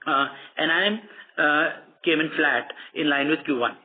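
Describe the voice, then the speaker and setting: A man with an Indian accent presenting company results as an executive on an earnings call, formal and measured, with frequent hesitations. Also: a little high. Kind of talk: prepared earnings call commentary. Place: conference call. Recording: tinny phone-line conference call.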